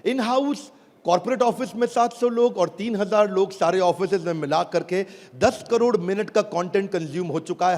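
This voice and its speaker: A man giving an energetic stage keynote to a live audience, emphatic and crowd-rousing, calling out for responses. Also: sits high. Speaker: motivational business speaker launching an app. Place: large auditorium with a live audience. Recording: reverberant hall, crowd and noise.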